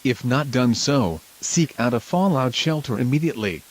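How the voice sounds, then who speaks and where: A man playing a local TV news anchor in a scripted emergency broadcast, speaking in a formal, measured way. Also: medium pitch, expressive, natural voice, poor audio. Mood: grave, urgent. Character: local TV news anchor reporting a nuclear attack.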